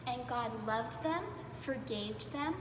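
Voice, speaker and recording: A woman reading aloud to young children in a playful, expressive way, dwelling on words for emphasis. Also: fairly high pitch, slow. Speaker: preschool storytime reader. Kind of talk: animated children's read-aloud. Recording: echoey reverberant room with clear background noise.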